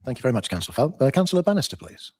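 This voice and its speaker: A man chairs a council meeting, briefly thanking each speaker before calling the next. His voice is mellow, and it sounds dry and close. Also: medium pitch, big pitch swings, very fast, no echo. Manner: brief, formal, hesitant.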